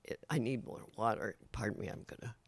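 An older man hosting his own show, talking casually in a halting way with many fillers, his voice raspy today. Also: fairly low pitch, dry recording. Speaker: show host. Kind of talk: casual rambling monologue.

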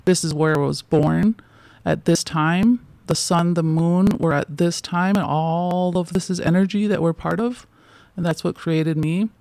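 A woman in her forties chats as an interview guest, her gravelly voice recorded dry and close. Her sentences wander and restart, full of fillers. She laughs between thoughts. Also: lowish voice, fairly even intonation, a little slow, few pauses, darkish tone, dry recording. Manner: rambling, loose sentences with many fillers and restarts. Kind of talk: casual interview conversation.

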